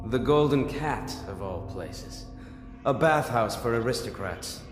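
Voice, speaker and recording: A man's voice: mid-range voice, animated, measured pace, lightly acted. Man as a stern strategist in echoing reverb. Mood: grim, disapproving. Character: stern, solemn male former churchman turned strategist. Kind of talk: scripted game dialogue. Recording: long echoing reverb, music underneath.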